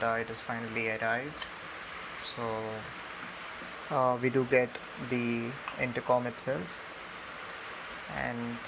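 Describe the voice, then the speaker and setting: A young man with an Indian accent giving a casual, halting unboxing and tutorial narration with many ums. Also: medium pitch. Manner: hesitant, halting delivery full of ums. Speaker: product reviewer. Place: indoors on a room floor. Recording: noisy home recording with steady hiss.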